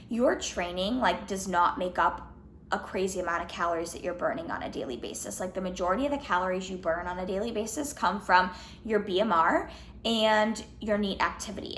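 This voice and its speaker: A young woman in her twenties, a fitness vlogger answering questions in a casual Q&A, slightly hoarse from losing her voice, chatty with lots of "like" and "um". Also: mid-range voice, a bit bright, echoey room, slight background noise. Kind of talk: casual Q&A vlog.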